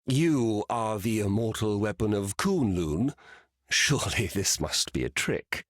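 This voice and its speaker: A man's voice: fairly low pitch, lively intonation, unhurried, no room sound, clean studio sound. An actor playing an arrogant, ancient male trickster god in a video game, scornful and theatrical.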